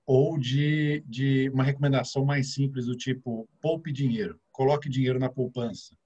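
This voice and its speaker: A man's voice: mid-range voice, steady tone, moderate pace, mellow, husky, muffled recording. A middle-aged man with a São Paulo interior Brazilian accent, a guest financial advisor explaining things step by step, with many filler sounds. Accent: São Paulo interior Brazilian Portuguese. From interior of São Paulo state, Brazil.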